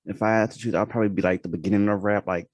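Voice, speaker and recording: A man's voice: fairly low pitch, steady tone, racing, no room sound. A man hosting a casual interview, asking long rambling questions full of fillers and restarts. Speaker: podcast host.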